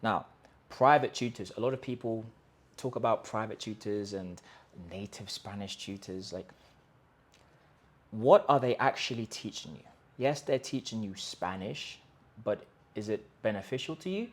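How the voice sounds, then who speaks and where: A man with a fairly low voice delivers an emphatic talk to camera. He stacks up rhetorical questions and restarts his sentences as he pushes his point. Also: expressive, normal speed. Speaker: host of a language-learning channel. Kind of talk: direct-to-camera pitch talk. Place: in Colombia.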